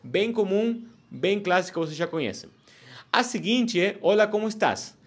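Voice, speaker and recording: A man's voice: sits high, big pitch swings, normal speed. Male Spanish teacher explaining patiently. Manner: explains step by step, checks in with "ok?" and "sim?". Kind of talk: online language lesson.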